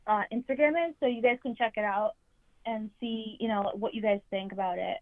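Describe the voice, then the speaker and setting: A woman calling in to a live show, speaking haltingly with fillers. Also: on the high side, no echo. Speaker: caller. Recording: tinny phone line.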